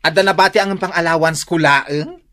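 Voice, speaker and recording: A man's voice: on the high side, steady tone, normal speed, bright, forceful tone. A young man playing an opinionated student boarder in a radio drama, exclamatory, with a Filipino accent. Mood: reluctant.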